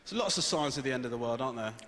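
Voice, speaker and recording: A man with a British accent doing a stand-up comedy set on a theatre stage, telling stories in a dry, deadpan way. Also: medium pitch, rapid-fire. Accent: British English. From Sri Lankan heritage. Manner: dry, deadpan storytelling with lively swings. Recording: roomy theatre with loud audience laughter. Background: audience laughing.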